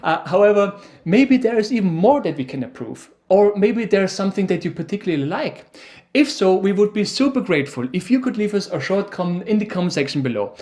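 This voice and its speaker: A man's voice: sits high, big pitch swings, brisk, a little mellow. A man with an Austrian accent, presenting an educational video as the science expert, with dry, teasing humor.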